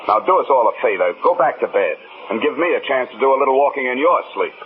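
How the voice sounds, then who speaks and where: A man's voice: mid-range voice, very animated, fast, background noise, lightly acted. A man with an American accent playing a tough, wisecracking, world-weary insurance investigator in 1950s radio detective style, sardonic and hard-boiled. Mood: dry, sardonic. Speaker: narrator and lead actor. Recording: tinny old radio recording, loud music.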